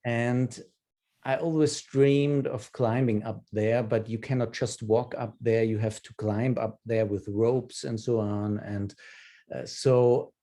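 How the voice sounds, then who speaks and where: A man in his fifties with an Austrian accent, a native German speaker, telling personal stories haltingly in an online group session. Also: mid-range voice, fairly even intonation, mellow. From Upper Austria. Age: 50s. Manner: hesitant, halting delivery with repeated words. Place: video call.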